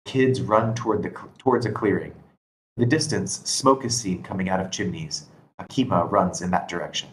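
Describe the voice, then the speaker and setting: Very deep-voiced man reading screenplay directions. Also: normal speed, poor audio. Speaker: screenplay narrator at a table read. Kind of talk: scripted narration of stage directions. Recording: constant background noise.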